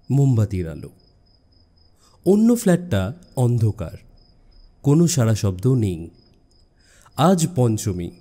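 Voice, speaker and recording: A man narrating a suspense story as an audiobook, expressive and dramatic, with deliberate pauses. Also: mid-range voice.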